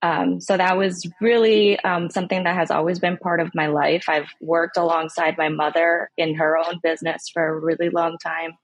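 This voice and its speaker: A woman in her thirties, from Southern California, talks as a guest on an interview podcast. Her voice sits a little low, and the sound is dry and close. She speaks warmly and thoughtfully, with frequent ums. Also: flat delivery, moderate pace, few pauses, no room sound, poor audio. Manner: warm, reflective, many ums. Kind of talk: conversational interview.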